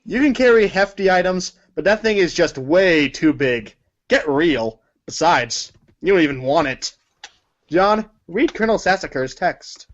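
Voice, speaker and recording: Casting: male storybook narrator, higher-pitched voice, second-person narration, slightly muffled lo-fi sound. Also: expressive, a little slow. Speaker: narrator of a group reading. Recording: dull lo-fi audio.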